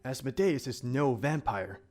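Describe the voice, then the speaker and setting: A proud demon protector, acted by a man speaks in stern, archaic phrases. The mid-pitched voice moves in broad dramatic sweeps over faint music, as in an audio drama. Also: expressive, measured pace, darkish tone, natural voice. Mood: dry, composed. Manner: formal, archaic, pompous diction. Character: proud, stern demon guardian, formal and haughty.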